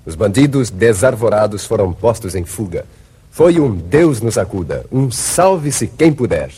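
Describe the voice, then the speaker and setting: Um homem brasileiro narrando com dramaticidade um seriado de rádio dos anos 1950. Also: male voice, fairly low pitch, very animated, measured pace, warm tone, husky. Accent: Brazilian. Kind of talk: 1950s radio serial narration. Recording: old radio serial with music behind.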